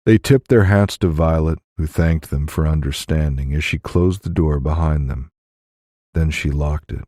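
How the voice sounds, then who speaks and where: A man reading scripted fiction as an audiobook narrator, in a measured, deliberate way on a close mic. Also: a bass voice, dark tone, dry recording.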